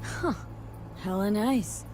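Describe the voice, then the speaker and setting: A woman's voice: mid-range voice, takes it slowly, noisy background, close to an everyday voice. A woman voicing a streetwise newcomer in a video game, with brief, slangy, casual reactions. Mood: impressed, curious.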